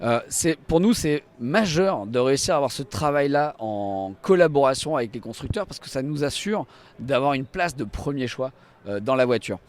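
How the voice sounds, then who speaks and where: A man's voice: mid-range voice, expressive, normal speed. A man giving an enthusiastic, explanatory live product demonstration as a company representative at a trade show. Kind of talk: live walk-through product demonstration to camera. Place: trade show stand and inside a car.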